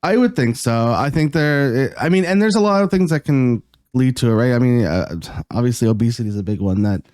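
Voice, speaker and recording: A man from Canada, co-hosting a podcast in a halting casual chat, often laughing. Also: medium pitch, lively intonation, a little mellow, no room sound, clean studio sound. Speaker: podcast co-host.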